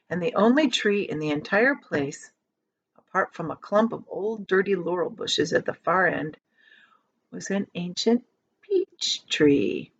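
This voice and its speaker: A woman reading a children's storybook aloud as a teacher would, with dramatic emphasis and drawn-out key words. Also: fairly low pitch, gravelly, a bit bright, breathy edge, highs cut off.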